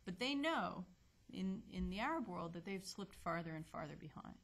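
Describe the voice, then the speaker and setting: A woman panelist answering in a panel discussion in a conference hall, measured and thoughtful with small fillers. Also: fairly low pitch, expressive, fairly bright tone.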